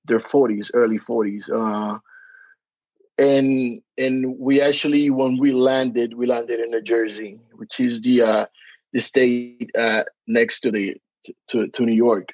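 A man's voice: medium pitch, dry recording. A Spanish-speaking man from the Dominican Republic, with a Dominican accent, talking as a podcast guest, hesitant with many fillers and restarts. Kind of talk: casual interview chat. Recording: dry, dull lo-fi sound.

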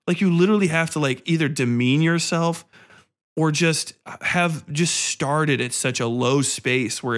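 Exasperated, foul-mouthed man with a higher-pitched voice. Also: fairly bright tone. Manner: profane, exasperated, blunt complaining. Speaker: podcast host. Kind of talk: casual podcast rant.

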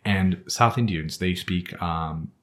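A man from Nepal whose first language is Nepali, speaking English with a Nepali accent as a podcast guest, with a thoughtful, pausing chat. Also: deep voice. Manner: thoughtful, many pauses with um and uh. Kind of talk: casual chat.

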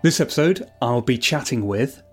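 A man hosting a podcast interview, speaking in a friendly, measured way. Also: medium pitch, lively intonation, soft background music. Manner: friendly, measured, scripted intro then chat. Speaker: podcast host.

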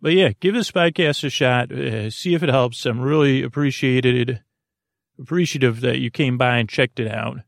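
A man hosting a bedtime podcast in a meandering, hesitant monologue full of stammered false starts and tangents, with a gravelly voice. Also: mid-range voice, normal speed, no echo, studio quality. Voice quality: gravelly, creaky. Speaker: podcast host.

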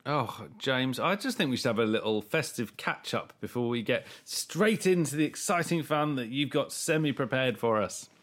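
A man's voice: medium pitch, animated, normal speed, few pauses, a bit bright. A man co-hosting a podcast in casual chat, mock-enthusiastic and sarcastic, coming down with a cold and coughing at times. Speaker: podcast co-host.

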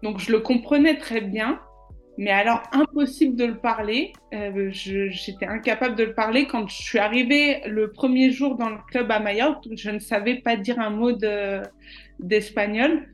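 A woman with a somewhat high voice tells her story in a relaxed interview. She hesitates and restarts often. Faint music plays softly behind her. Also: moderate pace. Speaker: nutritionist guest. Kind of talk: casual interview answers.

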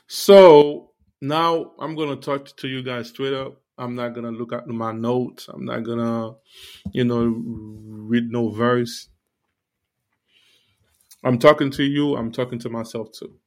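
A man's voice: mid-range voice, fairly even intonation, slow pace, a little mellow. Earnest Haitian-born man hosting a podcast. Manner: earnest, preachy, talks straight to listeners with many fillers. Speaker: podcast host. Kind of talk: casual faith podcast monologue.

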